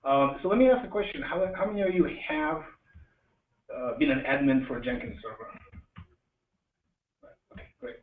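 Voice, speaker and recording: A man's voice: fairly high pitch, expressive, far from the mic. Man presenting in an echoey, tinny room. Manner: joking, halting, asks the audience questions. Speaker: meetup host introducing speakers. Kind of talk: informal live presentation to an audience. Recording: echoey room, tinny band-limited sound, faint noise.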